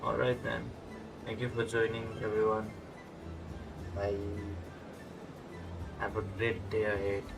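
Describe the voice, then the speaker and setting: A man livestreaming a game, chatting casually to viewers in halting, short phrases. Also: fairly low pitch, level tone, poor audio. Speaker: game streamer. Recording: game music clearly behind voice.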